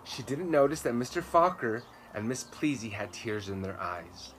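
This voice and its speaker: A man in his thirties reads a children's book aloud at a middle pitch. He tells it expressively and leans on key words for drama. It is recorded outdoors in a garden, with birds and ambient noise behind him. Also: animated, fairly bright tone. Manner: dramatic, with slow emphatic words and repeats. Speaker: children's storytime reader and host. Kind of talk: expressive read-aloud storytelling.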